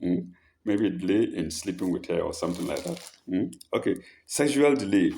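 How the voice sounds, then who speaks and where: A man's voice: low voice, expressive, normal speed, creaky, dark tone, slight background noise. A man who hosts an advice show, reading listener letters aloud and commenting on them, often stopping to reread a phrase or correct himself.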